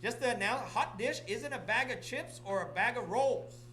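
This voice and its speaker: A man's voice: very high-pitched, expressive, normal speed, sharp tone. Man from Vietnam, middle-aged, office meeting talk, repetitive "okay?", faint noisy hum. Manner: repetitive, coaxing, checks in with "okay?" often. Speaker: real estate office manager or broker.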